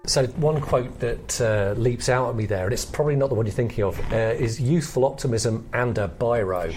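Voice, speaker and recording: Male podcast co-host, mid-pitched, casual chat, slight background noise. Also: fairly quick, a little mellow.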